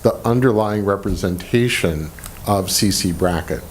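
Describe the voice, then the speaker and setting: A man in a conference audience asking a halting question with restarts. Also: low voice, a little hiss or hum. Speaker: audience member.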